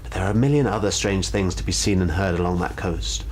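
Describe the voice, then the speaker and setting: A man speaking as a guest storyteller, polite and measured, explaining and reading aloud with some hesitant phrasing. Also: deep voice, darkish tone, a little hiss or hum.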